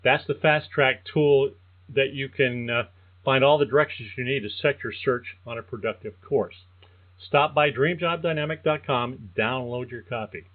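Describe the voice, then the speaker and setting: An older man hosting a career-coaching podcast, reading a prepared monologue in a measured, earnest way. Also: mid-range voice. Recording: thin phone-like sound.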